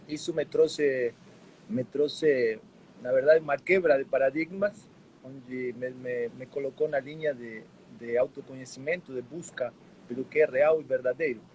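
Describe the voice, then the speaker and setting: A man from Argentina speaks Portuguese with a clear Argentine Spanish accent, and his voice sits fairly high for a man. He talks haltingly in a relaxed live chat, repeating words as he searches for them. A faint hiss of noise runs under the recording. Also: low-quality recording. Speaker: guest. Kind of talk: casual live-stream conversation.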